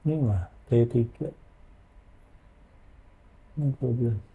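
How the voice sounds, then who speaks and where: A man explaining software in an informal, hands-on tutorial, using colloquial tags as he goes. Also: medium pitch, slow, phone-quality sound. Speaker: audio software trainer.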